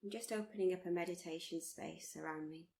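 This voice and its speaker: Even-toned woman guiding a meditation. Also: lowish voice, vocal fry. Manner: soothing, unhurried, gently encouraging with repeated phrases. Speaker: meditation teacher leading a body scan. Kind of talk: guided meditation. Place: live workshop.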